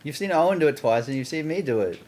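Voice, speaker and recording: A man's voice: fairly high pitch, lively intonation, fast talker, a little mellow, a little hiss or hum. A man co-hosting a gaming video, dryly teasing friends and coaching their play. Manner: dry teasing, gives instructions. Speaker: gaming co-host coaching a player. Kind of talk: casual gaming banter.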